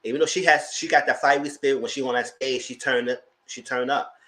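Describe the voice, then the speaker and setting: A man with a light, high-pitched voice, chatting casually as host of a live documentary review and greeting viewers. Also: fairly even intonation, brisk, pushed and bright. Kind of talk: casual live-stream commentary.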